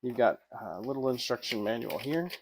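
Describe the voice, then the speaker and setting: A man's voice: mid-range voice, moderate pace, a little hiss or hum. Casual man narrating a product review. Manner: informal, off-the-cuff.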